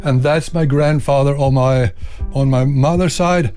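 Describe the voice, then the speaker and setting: An older Swedish-accented man speaks in a calm, plain way and pauses now and then to find the right English word. He is recorded indoors in a home library at a medium pitch. Faint music plays behind him. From Sweden. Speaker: interviewee, retired army officer. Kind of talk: casual chat at home.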